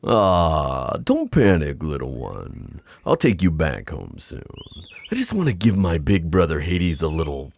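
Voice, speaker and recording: A man playing a playful, scheming, boastful sea god in an ASMR fantasy roleplay, with theatrical, teasing, drawn-out phrasing. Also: rumbling low, wildly swooping pitch, creaky, darkish tone, exaggerated voice. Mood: amused, confiding.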